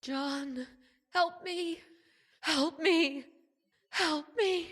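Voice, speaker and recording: A woman's voice: very high-pitched, drawn-out words, fairly bright tone, dry recording, clean studio sound, heavily acted. A woman playing a terrified, pleading young woman in an old-time radio horror drama, breathless and melodramatic. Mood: distant, pleading.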